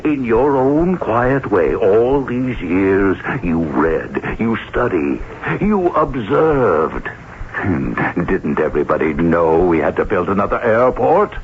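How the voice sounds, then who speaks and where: An actor playing an expansive bank boss in a radio drama, with theatrical, emphatic phrasing. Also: male voice, sits low, big pitch swings, takes it slowly, heavily acted. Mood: admiring. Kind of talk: old-time radio drama acting. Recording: muffled, hissy old radio broadcast.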